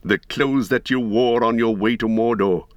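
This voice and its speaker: A man voicing a wise, kindly old male wizard who speaks in a measured, stately way. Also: mid-range voice, no echo, dull audio, lightly acted.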